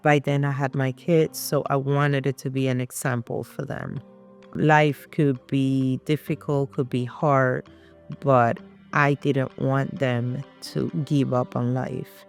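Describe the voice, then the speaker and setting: A middle-aged woman from Puerto Rico with a Spanish accent and Spanish as her first language, telling her life story as an interview guest in a halting, determined way. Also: rumbling low, little pitch movement, soft background music. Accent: Puerto Rican Spanish.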